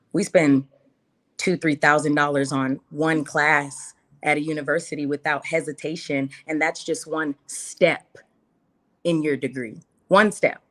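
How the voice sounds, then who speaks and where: Low-pitched woman, emphatic storyteller. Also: fairly bright tone. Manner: emphatic, repeats phrases and rhetorical questions. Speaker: interview guest. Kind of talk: casual personal storytelling.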